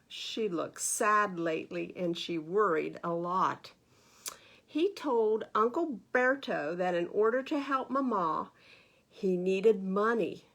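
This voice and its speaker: A woman's voice: mid-range voice, fairly bright tone. A woman reading a picture book aloud for children at storytime, expressively and with questions to her listeners. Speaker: librarian reading stories to children. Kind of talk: read-aloud children's storytime narration with asides.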